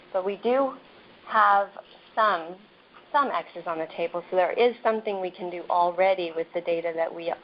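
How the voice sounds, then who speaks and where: A soft-spoken woman epidemiologist presenting slides in a measured, explanatory way, with frequent ums and restarts. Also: on the low side. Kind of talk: slide presentation over a phone line. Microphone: phone on the table. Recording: muffled phone line, faint noise.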